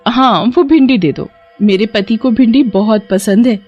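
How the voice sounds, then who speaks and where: A woman's voice: fairly high pitch, unhurried, a little mellow, husky, dull audio, soft background music. A woman voicing a curious, chatty village housewife in a cartoon horror story.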